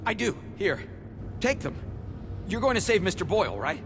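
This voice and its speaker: A man playing an excitable, earnest and grateful company employee rescued from danger in a video game. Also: sits high, moderate pace, background noise. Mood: excited relief.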